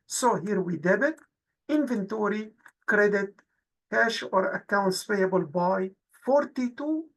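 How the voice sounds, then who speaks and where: Husky-voiced woman teaching step by step. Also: medium pitch, poor audio. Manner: methodical, step-by-step explaining. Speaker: accounting instructor. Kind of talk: explanatory tutorial narration over exercises.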